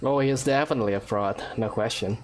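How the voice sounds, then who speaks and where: A woman's voice: rumbling low, fast talker. A woman hosting a game playthrough, making joking, sarcastic asides, over game music. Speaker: gaming channel host. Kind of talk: casual let's-play commentary.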